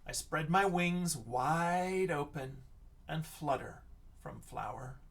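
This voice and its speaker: A man reads to a class of children as their teacher, at a slow, deliberate pace. His delivery is expressive, often sinking into a hushed, breathy whisper. He sounds set back from the microphone. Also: a little high, distant mic. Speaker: teacher reading aloud to class. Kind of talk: read-aloud of a picture book and lesson chat for children.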